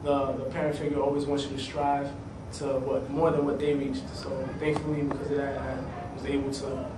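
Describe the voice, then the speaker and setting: A young man giving a casual, reflective interview answer in a measured manner. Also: medium pitch, monotone. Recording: echoey, distant mic, noisy.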